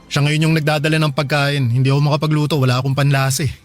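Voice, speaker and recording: A man's voice: a little high, flat delivery, racing, soft background music, natural voice. A man acting a tired but reassuring father caring for his sick child in a radio drama. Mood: determined. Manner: reassuring, weary, plain-spoken.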